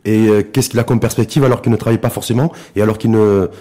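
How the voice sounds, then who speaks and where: Male host, mid-range mellow voice, very quick pace, probing interviewer who rephrases as he goes. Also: fairly low pitch, fairly even intonation.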